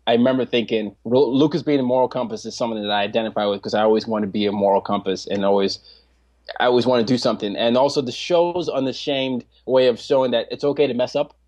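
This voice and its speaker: Guy in his 20s on a podcast, animated, laughs a lot, keeps restarting sentences. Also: medium pitch, quick pace, muffled recording. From Nigeria. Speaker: podcast guest. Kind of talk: casual interview chat.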